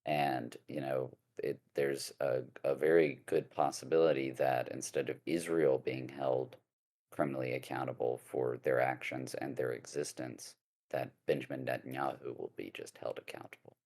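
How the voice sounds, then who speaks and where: A man speaking as a guest lawyer in a casual podcast discussion, halting, with many fillers and restarts. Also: very deep voice, steady tone, gravelly, darkish tone.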